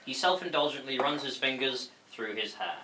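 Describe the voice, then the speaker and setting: A man narrates a script informally, stumbling now and then as he reads the stage directions. His voice is bright and mid-pitched, in a roomy, echoing space. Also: expressive, normal speed. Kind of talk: scripted narration at a table read. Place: indoor room. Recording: echoey room with faint noise.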